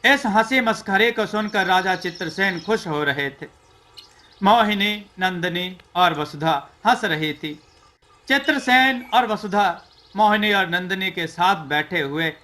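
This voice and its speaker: A man narrating a scripted folk tale in a measured, declamatory storyteller's way. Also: high-pitched, expressive, pushed and bright.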